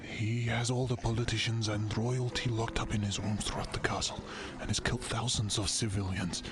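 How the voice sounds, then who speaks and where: A man acting a fearful, embattled senator in an audio drama, grave, halting and desperate. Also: lowish voice, little pitch movement, natural voice. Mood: urgent, hushed. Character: fearful, embattled senator sending a secret warning. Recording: loud music under the voice.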